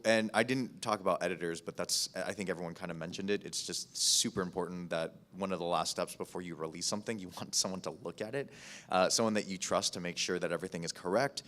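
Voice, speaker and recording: Man, deep low voice, podcast producer, casual panel talk, conversational, informal. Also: fairly quick, fairly bright tone.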